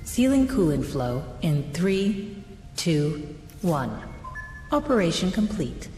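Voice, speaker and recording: A calm, impassive game computer. Her low, measured female voice announces impersonally, echoing through a large space with music behind. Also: heavily acted. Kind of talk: video game system announcement.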